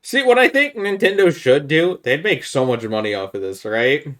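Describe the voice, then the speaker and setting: A man's voice: a little high, animated, normal speed, fairly bright tone. A man hosting a casual podcast chat about video games, making his points in an informal, persuasive way. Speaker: podcast host.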